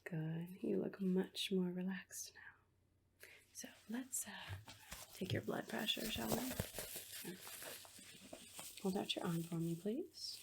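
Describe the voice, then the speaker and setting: A woman playing a sinister asylum nurse in a horror ASMR roleplay, whispering in a breathy, coaxing, falsely reassuring way. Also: medium pitch, takes it slowly, pauses often. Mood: soothing, clinical. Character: sinister asylum nurse, soothing on the surface, quietly menacing.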